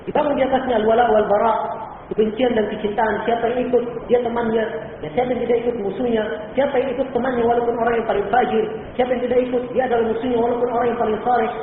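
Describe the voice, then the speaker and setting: A man's voice: very high-pitched, flat delivery, racing. A male Islamic teacher from Indonesia giving a religious lecture, explaining patiently, repeating key points and asking rhetorical questions. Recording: old echoey hall recording from far off, phone-like and faintly noisy.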